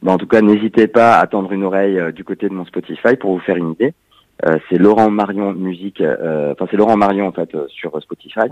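Deep-voiced hesitant man on radio. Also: fairly quick, darkish tone, no echo, dull audio. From Montpellier, France. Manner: hesitant, many fillers. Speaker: musician and actor guest. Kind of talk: radio interview.

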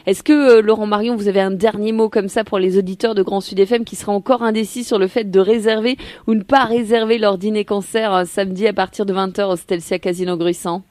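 A woman's voice: medium pitch, steady tone, a little fast, hardly any pauses, darkish tone, no echo. A woman with a mid-range voice hosts a local radio segment, upbeat and promotional as she moves through questions and practical details. The studio sound is dry and close. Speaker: radio host.

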